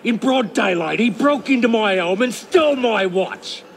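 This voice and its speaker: An actor playing a hot-tempered blacksmith in a video game, with a gravelly, strained voice. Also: male voice, sits high, expressive, normal speed, a little hiss or hum. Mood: furious. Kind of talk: video game cutscene acting. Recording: loud music behind.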